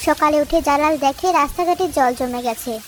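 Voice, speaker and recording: A woman's voice: sits high, normal speed, a little hiss or hum, low-quality recording. A woman narrator reading scripted moral-story narration for a cartoon. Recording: music behind the voice.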